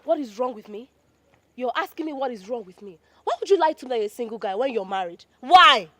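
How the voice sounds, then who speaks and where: An actress playing a scornful, betrayed mistress in a film melodrama, with an accusing, repetitive delivery. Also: female voice, a little high, expressive, a little fast, a bit bright, no room sound. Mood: indignant. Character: betrayed young mistress, scornful, morally outraged.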